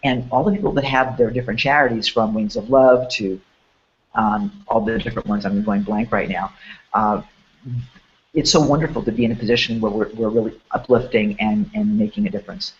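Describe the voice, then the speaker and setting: A man hosting a promotional online chat who sounds hoarse and sniffly. He talks in an enthusiastic, rambling way with many restarts. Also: fairly low pitch, fairly quick, muffled recording. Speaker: host.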